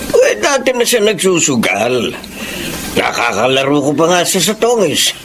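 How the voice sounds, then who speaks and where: An older, protective father in a radio drama. His voice is a little high for a man, with wide, animated intonation and a concerned, questioning manner. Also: moderate pace, background noise. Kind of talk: Tagalog radio drama acting.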